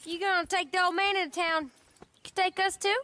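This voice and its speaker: A brash young outlaw woman with a high, sharp voice. Her American accent comes with a teasing, cheeky delivery from a cinematic Western game. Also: fairly even intonation, a little fast. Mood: teasing, indignant. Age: young adult.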